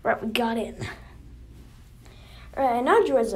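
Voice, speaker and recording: High lively woman voice acting a cartoon story, dramatic, bit of noise and clicks. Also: a little slow. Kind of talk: amateur animated story voice acting.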